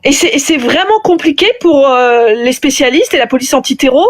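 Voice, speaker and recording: A woman's voice: high voice, brisk. A woman hosting a heated live online debate, talking emphatically and jumping in on others. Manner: emphatic, interrupts, animated. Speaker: live stream host. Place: online live stream call.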